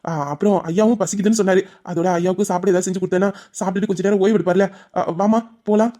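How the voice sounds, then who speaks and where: A woman plays a kindly elder brother in an audio melodrama. The speech is extremely quick and mid-pitched, with a caring, slightly halting manner. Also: steady tone, mellow, lightly acted. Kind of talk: melodramatic Tamil audio drama.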